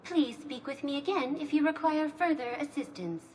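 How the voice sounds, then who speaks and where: A woman's voice: high-pitched, sing-song, normal speed, a little mellow. A woman playing a courteous synthetic information assistant in a video game, speaking in scripted, official announcements. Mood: courteous, informative.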